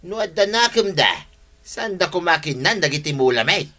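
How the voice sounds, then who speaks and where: A man's voice: a little high, animated, normal speed, sharp tone. A man with an Ilocano accent playing a blustering, irritated villager in a comedy radio drama. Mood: sarcastic.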